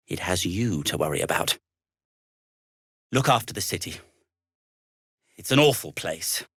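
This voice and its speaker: An actor playing an earnest, fussy, melancholy gnome inventor in a video game, with a hesitant, wordy and old-fashioned polite way of talking. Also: male voice, fairly low pitch, a bit bright, no echo, studio quality, exaggerated voice. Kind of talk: video game character dialogue.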